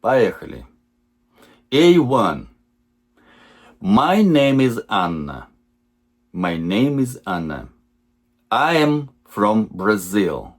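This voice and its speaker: Low-voiced guy reading practice sentences real slow, lively up-and-down pitch. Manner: measured, repeats each sentence for learners. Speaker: English teacher. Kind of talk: slow lesson reading example sentences twice.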